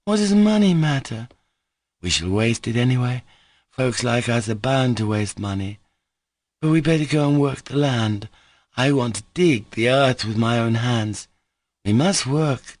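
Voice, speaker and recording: A man voicing an emotional, drunken young woman who pleads in a halting, breathless way. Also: medium pitch, no echo, studio quality, voice pushed up, close to an everyday voice.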